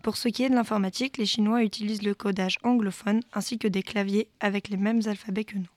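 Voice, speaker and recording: A woman's voice: mid-range voice, fairly even intonation, quick pace, a little mellow, dry recording. A young woman steadily reading her part of a prepared school report. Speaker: student presenter.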